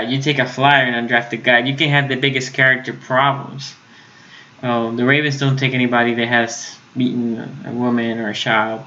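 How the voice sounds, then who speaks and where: Puerto Rican man, bright voice, lo-fi audio. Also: mid-range voice. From Puerto Rico. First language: Spanish. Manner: casual, talks to chat with frequent restarts. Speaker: sports YouTube host. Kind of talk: live stream draft analysis, reading chat.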